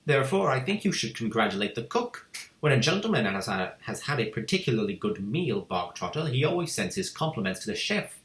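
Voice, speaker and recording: A man's voice: mid-range voice, very animated, fairly quick. A man voicing a cruel, furious headmistress who barks and screams insults at a pupil. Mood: falsely sweet. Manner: barking, shouting insults. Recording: echoey room.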